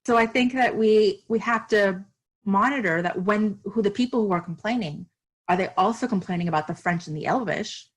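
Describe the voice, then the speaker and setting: A woman's voice: medium pitch, moderate pace, breathy edge. A woman author from Miami, speaking earnestly and reflectively as a podcast interview guest, with fillers and restarts.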